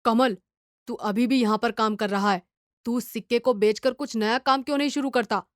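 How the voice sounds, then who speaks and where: A woman's voice: on the high side, fairly even intonation, normal speed, no echo, clean studio sound, close to an everyday voice. A woman voicing a young village man in earnest dialogue for a moral story. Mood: urging. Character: two young village men, friends, talking earnestly.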